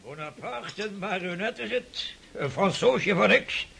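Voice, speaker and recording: An actor playing a cantankerous, opinionated old prince in a radio drama, husky and creaky, sputtering and repeating himself as he blusters. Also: high-pitched, expressive, a little slow, sharp tone, full character voice. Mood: scornful, agitated. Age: older.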